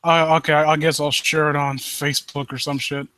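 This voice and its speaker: A man with a fairly high, bright, forceful voice chats casually as a critique host. He is blunt and swears freely, and the sound is very dry. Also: little pitch movement, fairly quick, no echo. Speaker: host of a live story critique show. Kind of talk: casual chat, off-the-cuff critique.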